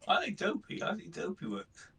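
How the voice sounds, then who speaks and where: A man's voice: high-pitched, wildly swooping pitch, normal speed, creaky, bright, forceful tone, breathy edge. A man chatting casually as a player in a tabletop game. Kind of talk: casual chat.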